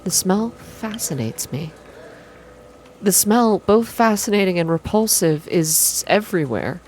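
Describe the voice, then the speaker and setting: A woman reading a visual novel game aloud in an intimate ASMR style, soft and expressive as she hosts her playthrough. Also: medium pitch, measured pace. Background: game music.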